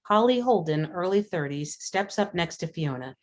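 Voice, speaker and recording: Woman narrator, low-pitched voice, matter-of-fact, scripted screenplay directions, table read. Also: lively intonation, normal speed. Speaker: stage directions reader.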